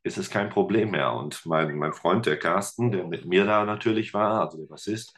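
A man with a Ruhr German accent, a teacher and musician, telling stories in a relaxed, chatty podcast interview with lots of fillers. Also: low-pitched, normal speed. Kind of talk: casual interview chat.

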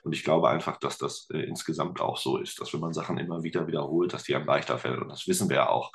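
Very deep-voiced German man. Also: fairly even intonation, fast, creaky. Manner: thoughtful, many fillers and restarts. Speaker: teacher and musician, podcast guest. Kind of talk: casual interview talk.

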